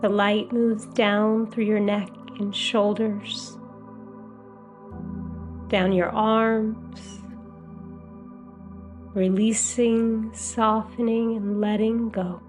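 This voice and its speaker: A woman guiding a meditation as a hypnotherapist, with a soothing, gentle, unhurried delivery. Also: medium pitch, level tone, over music. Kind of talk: guided meditation.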